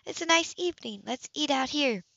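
A woman voicing a warm, playful, practical young woman in an audiobook, with a light, teasing delivery. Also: sits high, animated, fairly quick, no echo, lo-fi sound, pitched above the performer's own voice. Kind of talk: audiobook character voice.

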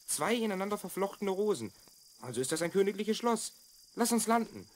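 High, lively, adventurous boy. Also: a little fast. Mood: confident, eager. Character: confident, adventurous twelve-year-old boy time traveller from the future. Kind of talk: children's audio drama acting.

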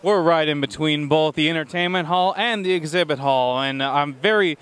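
Brash young man, mock reporter, high voice. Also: normal speed, a bit bright, clear, resonant tone, no echo. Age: young adult. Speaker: mock news correspondent. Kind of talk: comedic on-the-street interviewing. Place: busy convention hall. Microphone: handheld mic.

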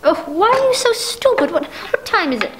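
A woman's voice: very high-pitched, very animated, normal speed, noisy background. A woman playing a nervous, indignant, imperious housewife who stammers insistently, in 1940s radio play style. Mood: indignant, frantic. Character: nervous, demanding, indignant wealthy housewife alone at night. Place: dark stage, masked, speaking into prop telephone.

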